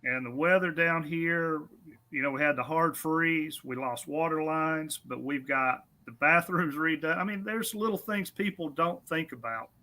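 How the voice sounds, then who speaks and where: A man's voice: a little high, a little slow, bright, forceful tone. A man, a guest talking about his racetrack in an easygoing, self-deprecating, friendly way. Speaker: drag strip guest. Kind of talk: casual on-air interview.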